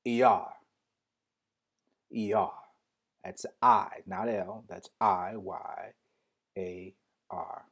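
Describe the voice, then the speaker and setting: A man's voice: low voice, steady tone. A man teaching a live-streamed Hebrew lesson, repeating each word and spelling it out letter by letter, often restarting as he talks straight to his viewers. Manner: drills words slowly, spells them out letter by letter.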